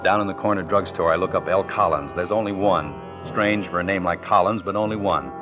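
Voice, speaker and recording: A man plays a tense American killer from a vintage radio thriller. His low voice moves in clipped, intent phrases, anxious underneath and coolly polite on top. The old recording is muffled, with music prominent behind him. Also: fairly quick, natural voice. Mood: tense, urgent. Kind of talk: 1940s-50s radio suspense acting, narrating.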